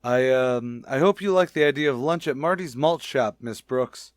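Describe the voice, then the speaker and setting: An American actor playing a shy, naive bachelor schoolteacher, hesitant and earnest, in a vintage radio sitcom. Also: male voice, mid-range voice, moderate pace, dry recording, clean studio sound, close to an everyday voice. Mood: bashful, earnest. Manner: hesitant, earnest, literal-minded.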